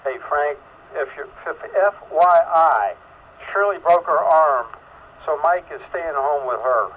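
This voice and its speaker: A man's voice: on the high side, animated, unhurried. A man on a ham radio net, passing along information casually. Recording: tinny radio link, faint noise.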